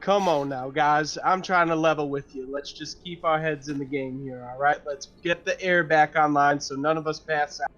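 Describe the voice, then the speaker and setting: A man's voice: fairly high pitch, fairly even intonation, moderate pace, hardly any pauses, fairly bright tone. A man playing a tabletop game, speaking in a casual, folksy way. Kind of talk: casual in-character table talk. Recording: slightly dull audio, faint music behind.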